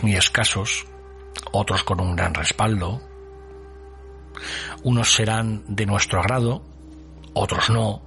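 A man presenting a podcast as host, narrating a scripted introduction with measured, colloquial phrasing and dramatic pauses. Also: lowish voice, creaky, a bit bright.

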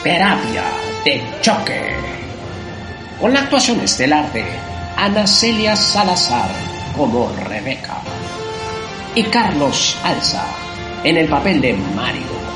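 A man's voice: on the high side, sing-song, takes it slowly. A man announcer giving a dramatic voiceover for a radio play. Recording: roomy voice over music bed.

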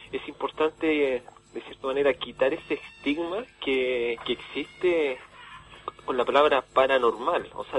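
A Chilean man calling a radio programme by telephone, explaining in a hesitant, halting way. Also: medium pitch, low-quality recording. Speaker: phone-in guest, event organiser. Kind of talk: informal radio phone interview. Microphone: telephone call.

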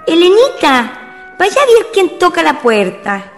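A woman acting as a watchful, inquisitive Chilean mother in a radio drama, calling and questioning in drawn-out phrases. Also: extremely high pitch, very animated, exaggerated voice. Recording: long echoing hall, music behind.

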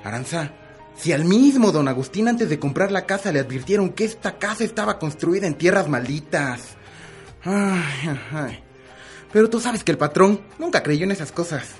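A woman's voice: fairly low pitch, lively intonation, normal speed, soft background music, lightly acted. A woman with a Mexican accent playing a superstitious, gossipy household servant in a melodramatic radio soap opera. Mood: uneasy gossip.

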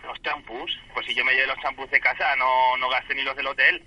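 A man's voice: medium pitch, little pitch movement, brisk, bright, forceful tone, a little hiss or hum, poor audio. A man talking spontaneously on the telephone, hesitant and stammering, often repeating his words. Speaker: prank call victim, hotel guest. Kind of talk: spontaneous phone conversation.

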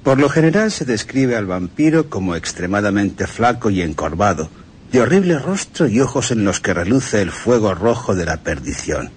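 Animated, grim male vampire scholar. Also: fairly low pitch, moderate pace, a little hiss or hum. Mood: grim, lurid. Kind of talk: dramatic literary reading on radio.

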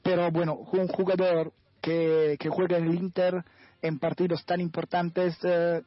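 A man with a fairly high voice, an Italian native speaker, breaks down a football match for a radio program. He talks haltingly, filling gaps with "eh" and "bueno" as he hunts for words. The sound is dry and muffled, like lo-fi radio. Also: level tone, no room sound. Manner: hesitant, searching for words, many fillers. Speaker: guest football commentator. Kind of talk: radio football analysis chat.

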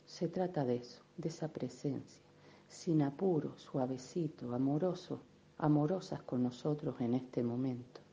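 A woman leading a guided meditation in a gentle, unhurried, soothing manner, with affectionate little diminutives. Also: rumbling low, gravelly, dull audio, a little hiss or hum. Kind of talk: guided meditation, soft instruction.

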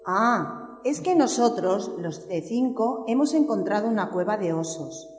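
Echoey hall, woman as excited child explorer, enthusiastic children's storytelling, medium pitch. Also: measured pace, natural voice. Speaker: preschool teacher. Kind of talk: children's audio story acting. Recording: echoey hall with long reverb.

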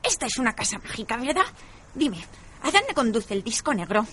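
A woman's voice: on the high side, very animated, a little fast, sharp tone, slight background noise. A woman playing a feisty, nosy old cleaning woman in a radio drama. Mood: matter-of-fact.

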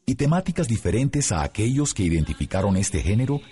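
A man reading scripted documentary narration in a husky voice. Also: lowish voice, sing-song, fairly quick, dark tone. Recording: long reverb over faint music.

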